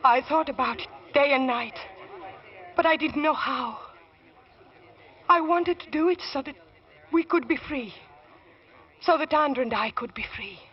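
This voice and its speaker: An actress playing a grieving, embittered accused murderess in an old radio drama, full of contempt. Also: female voice, high voice, unhurried, long pauses. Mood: anguished, bitter. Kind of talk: 1940s radio drama acting. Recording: dull lo-fi old radio recording.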